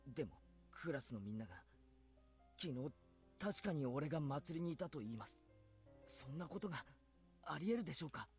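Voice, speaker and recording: An actor voicing an earnest teenage boy who talks in a steady, clear, resolved way. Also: fairly high pitch, very fast, pauses often, faint music behind. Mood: resolute. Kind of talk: Japanese game voice acting.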